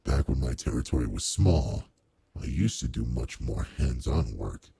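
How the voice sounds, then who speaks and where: Man voicing a very deep, gravelly demon lord. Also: animated, a little hiss or hum, full character voice. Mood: probing, concerned. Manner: measured, formal, authoritative with soft concern. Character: commanding male demon lord, old-fashioned, courteous, quietly caring boss. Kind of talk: roleplay audio drama, intimate one-to-one address.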